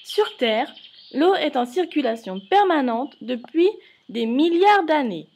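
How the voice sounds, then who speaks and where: A woman's voice: high-pitched, expressive, unhurried, background noise. A woman reading scripted educational narration for children, explaining things and addressing the viewers directly.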